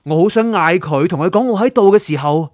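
A man's voice: high-pitched, lively intonation, brisk, a little mellow, no room sound. An actor with a Hong Kong Cantonese accent playing an anxious, curious male writer who narrates his story introspectively in a radio drama. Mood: tense recounting. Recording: music under the voice.

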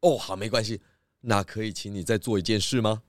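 A man voicing a calm, methodical pig detective who patiently leads with questions in a children's audio drama. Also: fairly low pitch, no room sound, clean studio sound, close to an everyday voice. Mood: polite, probing. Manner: measured, patient, leading with questions.

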